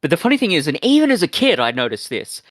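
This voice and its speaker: A man co-hosting a review podcast, chatting casually in a halting way, often doubling back on his words. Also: on the high side, huge pitch swings, fairly bright tone, no echo. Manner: halting, repeats himself, sweary.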